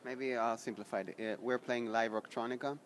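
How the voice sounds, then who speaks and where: A man in a band, talking in an interview, halting and repeating words as he searches for them. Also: medium pitch, steady tone. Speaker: band member. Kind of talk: casual interview chat. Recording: noisy background.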